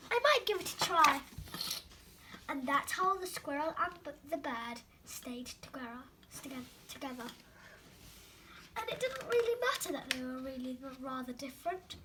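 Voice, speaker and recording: A young girl hosting storytime, reading a children's book aloud with careful, animated expression. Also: high-pitched, background noise.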